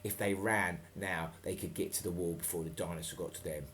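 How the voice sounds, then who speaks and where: A man's voice: sits low, steady tone, a little fast, a bit bright, slight background noise. A man reading a storybook dramatically and breaking off for chatty, playful asides.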